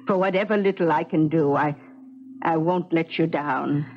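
A woman's voice: low voice, normal speed. An actress playing a motherly, loyal, plain-spoken maidservant in a 1970s radio drama. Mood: concerned, soothing. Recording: dull, faintly noisy 1970s radio broadcast.

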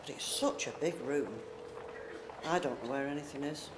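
A woman's voice: sits low, moderate pace, close to an everyday voice. A woman playing an anxious, grumbling blind hospital patient in a naturalistic audio drama, often muttering her worries to herself. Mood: fretful. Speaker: actor in an amateur audio drama. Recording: noisy, echoey room with ambience.

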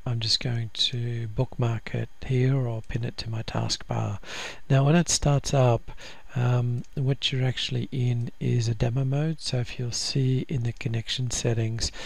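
A man walking through a software install in a casual screen-recorded tutorial, with frequent ums and restarts. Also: medium pitch. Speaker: software trainer.

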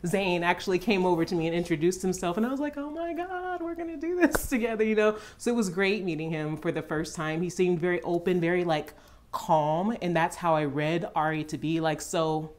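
Actress being interviewed, slightly low female voice, casual storyteller who voices her inner thoughts. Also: fairly quick.

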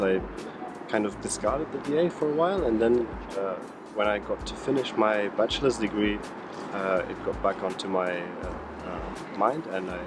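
Austrian guy, 20s, lowish voice, kinda hesitant, noisy outside. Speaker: master's student. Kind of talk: on-camera interview answer. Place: outdoor campus garden.

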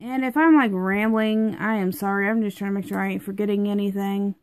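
A woman's voice: medium pitch, fairly even intonation, fairly quick, no room sound. A young woman reviewing a product at home, chatty and rambling with lots of fillers, her voice stuffed up from congestion.

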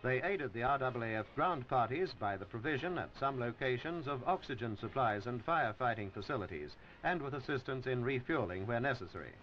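A man narrating a 1950s newsreel in a formal, measured announcer's delivery. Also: mid-range voice, expressive, a little hiss or hum. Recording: dull old newsreel film soundtrack.

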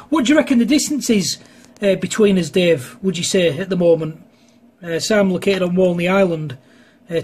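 A man's voice: high-pitched, darkish tone, slight background noise. A man chatting casually on amateur radio, rambling and hesitant as he goes. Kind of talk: casual two-way radio chat. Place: indoors at a home radio station.

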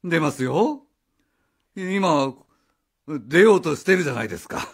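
A man's voice: fairly high pitch, very animated, unhurried, slightly rough, heavily acted. An actor playing a flustered but affable man bluffing as a schoolboy's father in a Japanese radio drama. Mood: evasive, flustered. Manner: hesitant, evasive, affable bluffing.

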